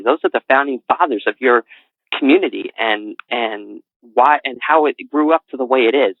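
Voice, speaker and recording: A man's voice: mid-range voice, no echo. Man with a faint New England accent. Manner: rambling, self-correcting, earnest. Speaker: interview guest, author. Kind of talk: casual interview talk. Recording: dry, tinny phone-like line.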